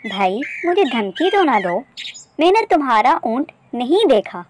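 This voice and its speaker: A woman voicing a wise, observant male beggar from a children's story, explaining calmly, politely and patiently. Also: high voice, a little slow, slight background noise. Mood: patient denial.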